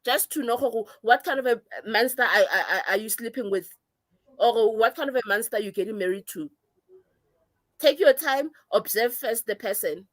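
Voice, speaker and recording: A woman's voice: a little high, normal speed, a bit bright. A South African woman in her thirties, a guest who talks earnestly and gives advice, with hesitations. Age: 30s. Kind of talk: casual chat.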